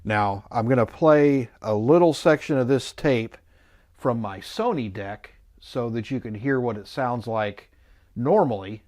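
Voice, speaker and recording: A man's voice: medium pitch, lively intonation, measured pace, a little mellow, dry recording, studio quality. A man giving a casual, unscripted product review, thinking aloud in a musing, hesitant and mildly skeptical way. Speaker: host of an electronics review channel.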